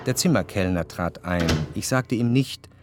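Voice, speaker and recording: An actor playing a reflective, cultured man who recalls his past in a literary radio drama, with measured, precise diction. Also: on the low side, music in the background, close to an everyday voice. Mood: uneasy. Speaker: narrator.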